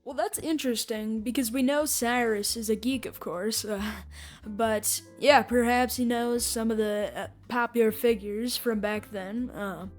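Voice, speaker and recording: A woman hosting a podcast with casual review commentary in a halting, hesitant delivery. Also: on the high side, fairly even intonation, soft background music. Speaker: podcast host.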